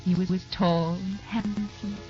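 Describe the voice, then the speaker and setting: A woman playing the reflective narrator of a sentimental old-time radio drama, with a halting storytelling delivery. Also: medium pitch, level tone, close to an everyday voice. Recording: dull old radio recording, music behind.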